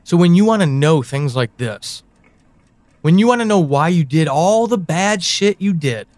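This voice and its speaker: An actor playing an anxious, conflicted man in an audio drama monologue, speaking haltingly with sighs. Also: a little high, expressive, darkish tone, no echo, natural voice. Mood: troubled, protective. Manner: hesitant, halting, sighing. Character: anxious, conflicted man leaving voice messages to a missing loved one.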